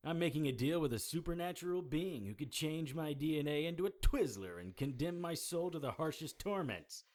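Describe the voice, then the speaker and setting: An actor playing a hard-boiled, sardonic occult investigator in a noir audio drama, blunt and world-weary. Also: male voice, on the high side, expressive, brisk, darkish tone, close to an everyday voice. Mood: scornful, exasperated.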